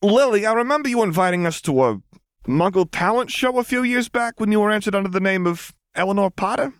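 A cheerful, self-satisfied male vampire secretary in a read-aloud story , as a man puts him on. He speaks noticeably higher than the reader's own voice. He teases and gloats in a light, pleased way. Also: expressive, a little fast, clean tone, no echo, studio quality. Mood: curious. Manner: pleased with himself, teasing.

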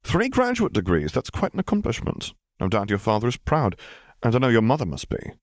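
A man's voice: medium pitch, wildly swooping pitch, fairly quick, no echo, clean studio sound, pitched above the performer's own voice. A man voicing a reserved middle-aged naval officer with formal, polite phrasing, in an audiobook story. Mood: politely curious.

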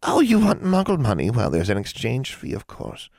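A man voicing a sly old female hag who lends money, teasing and cackling with drawn-out words. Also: mid-range voice, huge pitch swings, gravelly, a little mellow, no room sound, clean studio sound, voice pushed up. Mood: mocking.

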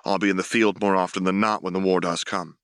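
A man voicing an earnest, self-effacing young military officer in a formal, measured way for a dramatic audiobook. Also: deep voice, flat delivery, a bit bright, smooth, dry recording, studio quality. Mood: modest, formal. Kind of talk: dramatic audiobook dialogue reading.

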